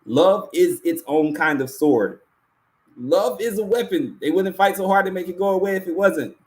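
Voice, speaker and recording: A man hosting an awards ceremony, giving a prepared closing speech in an earnest, deliberate way with emphatic repetition. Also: high voice, darkish tone. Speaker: awards show host.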